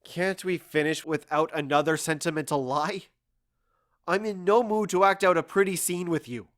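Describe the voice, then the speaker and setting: A man's voice: on the high side, steady tone, normal speed, fairly bright tone, natural voice. A man playing a stoic, embittered husband in an audio drama, weary and resolute, with grave, formal speech. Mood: scornful.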